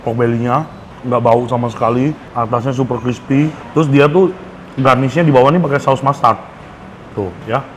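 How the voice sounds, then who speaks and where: Jakarta guy doing a food vlog, medium pitch, slangy and hyped, drops english phrases, restaurant noise behind him. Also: fairly even intonation, normal speed. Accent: Jakarta Indonesian. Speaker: food vlogger. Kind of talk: casual food review to camera. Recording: clear background noise, restaurant ambience.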